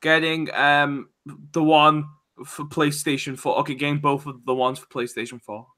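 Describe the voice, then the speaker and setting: A man's voice: on the high side, flat delivery, moderate pace, sharp tone. A man co-hosting a podcast in casual conversation about video games. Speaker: podcast co-host.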